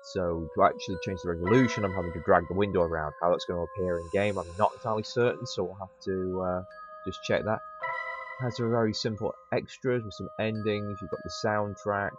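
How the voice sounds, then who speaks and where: A man's voice: sits low, steady tone, a little fast, lo-fi sound, over music. A man hosting a game playthrough, commenting casually with wry, conversational asides. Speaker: host of a gaming channel.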